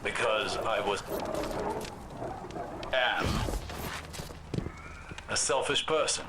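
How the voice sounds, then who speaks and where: An aging warlock mentor from a video game, reflective and proud. He weighs each phrase with deliberate pauses and formal diction, carrying old regret. There is clear noise behind him. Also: lowish voice. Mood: remorseful. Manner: measured, deliberate delivery with pauses.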